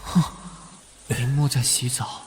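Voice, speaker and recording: A man playing an earnest police detective who gets flustered and jealous in private, in an animated drama. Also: mid-range voice, slow, natural voice. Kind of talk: animated drama voice acting with inner monologue. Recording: loud background music under the voice.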